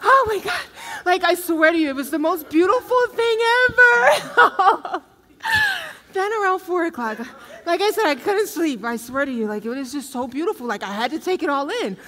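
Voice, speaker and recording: A woman's voice: extremely high pitch, animated, normal speed, sharp tone, full character voice. A woman playing a giddy, clingy, lovestruck young woman in a comedic stage play, gushing breathlessly and melodramatically. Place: theatre stage, indoors. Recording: noisy stage recording from far off.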